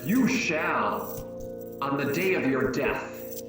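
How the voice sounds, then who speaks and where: A man playing the anguished, stern ghost of a dead business partner, declaiming in slow, moaning, portentous tones in a theatrical reading. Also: mid-range voice, big pitch swings. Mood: mournful warning. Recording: echoing, distant, muffled, music behind.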